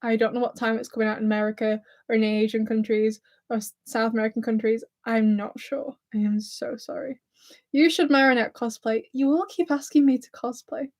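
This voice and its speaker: A woman's voice: fairly high pitch, little pitch movement, brisk, darkish tone. A woman in her twenties with a mix of Lancashire and Scouse accent, chatting nervously and giggling on a live stream while reading viewer comments. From England, near Blackpool. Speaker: YouTuber hosting a livestream.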